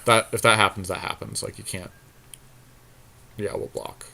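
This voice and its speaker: A man co-hosting casual gameplay commentary with a friend, thinking his moves out loud in slangy speech. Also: lowish voice, fairly even intonation, moderate pace, gravelly. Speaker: podcast co-host playing an online card game.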